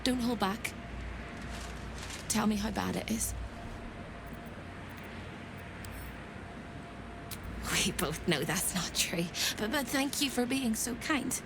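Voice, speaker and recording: A woman's voice: fairly high pitch, lively intonation, measured pace, gravelly, background noise. An actress playing a frightened, unhinged captive woman in a video game, chirpy and theatrical, with erratic delivery. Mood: wounded, fragile. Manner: theatrical, erratic, swinging from singsong to hushed. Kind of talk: video game character acting.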